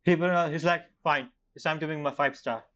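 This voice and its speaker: Male game streamer, casual reactions, halting phrasing, mid-range voice, muffled mic, faint music. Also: a little high, fairly even intonation, a bit bright. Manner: halting, non-fluent English phrasing. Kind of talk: casual gameplay reaction commentary.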